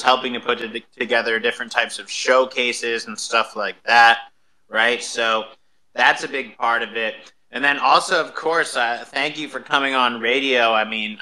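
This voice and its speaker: A man's voice: mid-range voice, pushed and bright, low-quality recording. A man hosting a casual live radio interview, talking loosely with plenty of "like" and "right". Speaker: radio show host.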